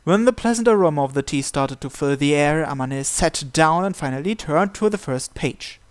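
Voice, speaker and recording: A man's voice: on the high side, animated, normal speed, smooth, dry recording. A man reading a visual novel aloud as story narration. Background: game music.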